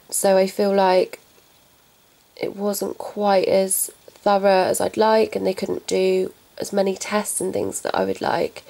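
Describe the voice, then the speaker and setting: A young woman vlogging about her pets, talking softly and haltingly while getting over a cold. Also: mid-range voice, creaky. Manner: soft, halting, subdued. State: recovering from a cold. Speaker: pet vlogger. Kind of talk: casual talk to camera.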